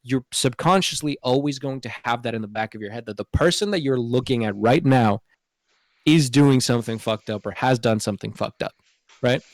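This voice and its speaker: A man's voice: mid-range voice, fairly quick, dry recording. A man talking casually on a podcast, earnestly explaining his view and often checking that the listener follows. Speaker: podcast host. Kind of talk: casual opinion discussion.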